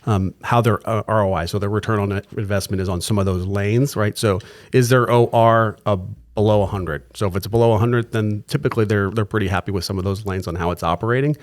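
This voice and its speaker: A man's voice: on the low side, steady tone, fast talker, hardly any pauses. A man speaking as a podcast guest, explaining business practice with frequent restarts and tag questions like "right?". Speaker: client services executive, guest.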